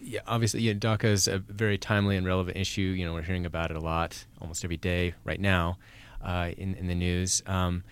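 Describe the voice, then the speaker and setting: A man's voice: low-pitched, flat delivery, quick pace, few pauses, clean tone. A man with an American accent, speaking as a podcast host who narrates and interviews. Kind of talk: scripted narration and interview questions.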